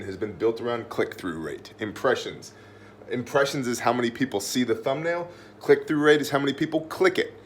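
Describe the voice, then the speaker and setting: A man hosting an informal video class, talking in a blunt, slangy, emphatic way with a slightly phlegmy voice. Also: mid-range voice. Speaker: host of prepper video class. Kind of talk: informal lesson with whiteboard, to camera.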